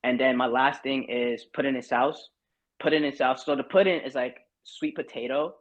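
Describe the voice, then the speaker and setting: A young man from Barbados talks casually as a podcast guest, rambling easily with plenty of "um"s and restarts. His pitch sits in a typical middle range. The audio is a little muffled and lo-fi. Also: moderate pace. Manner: relaxed, rambling with many fillers. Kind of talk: casual chat.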